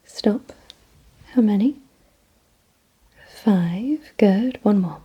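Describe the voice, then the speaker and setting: A woman whispering in a breathy, hushed ASMR roleplay style, giving deliberate instructions directly to the listener. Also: mid-range voice, expressive, highs cut off. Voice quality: breathy, mostly unvoiced whisper. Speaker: ASMR performer.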